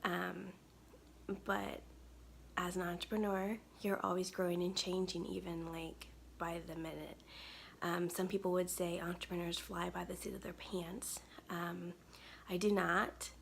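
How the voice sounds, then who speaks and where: A woman in her thirties with a soft, weak, breathy voice, chatting casually through a makeup tutorial at home to a close webcam. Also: fairly low pitch, level tone, a little slow, a little hiss or hum. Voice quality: gravelly, breathy. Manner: soft-spoken, chatty, many ums. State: weak, tired voice. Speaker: makeup brand owner. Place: home studio, indoors.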